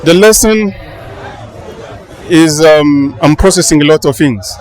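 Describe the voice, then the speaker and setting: Man, halting, searching for words. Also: fairly high pitch. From Angola. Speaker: interviewee, funeral visitor. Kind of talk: casual street interview. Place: outdoors at a crowded palace funeral. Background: crowd murmur.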